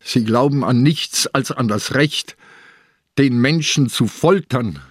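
An ailing old commissioner, a stubborn captive in a crime radio play. The voice is husky and slow, recorded dry and close. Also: male voice, medium pitch, no echo. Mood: accusing. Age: older. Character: sick, weakened old male police commissioner, stubborn captive.

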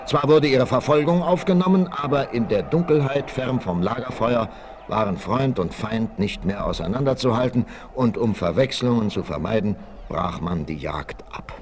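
A man's voice: medium pitch, expressive, normal speed, a little mellow. A man narrating a 1960s adventure audio play in a dramatic, suspenseful, emphatic scripted style. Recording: noisy 1968 vinyl transfer.

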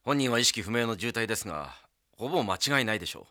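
Brisk businesslike detective, bright tone, radio drama. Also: male voice, medium pitch, lively intonation, dry recording, clean studio sound. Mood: reporting. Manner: brisk, reporting. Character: businesslike male police detective. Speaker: police detective.

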